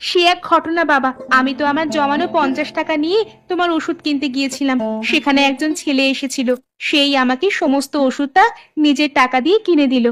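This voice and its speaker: A woman's voice: high-pitched, fairly even intonation, brisk, a bit bright. A woman voicing a dutiful, earnest young village daughter in a cartoon story. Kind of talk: animated story voice acting.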